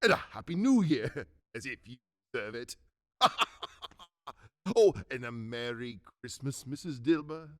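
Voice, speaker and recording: A man playing a reformed old miser in a radio drama, giddy with joy and stammering as he excitedly repeats himself. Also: mid-range voice, unhurried, dry recording, studio quality, close to an everyday voice. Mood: giddy, overjoyed. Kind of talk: theatrical radio drama acting.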